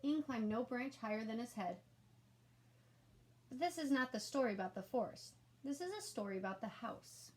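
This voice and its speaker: High-voiced woman reading a storybook. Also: normal speed, darkish tone. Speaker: children's read-aloud storyteller. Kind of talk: expressive storybook narration.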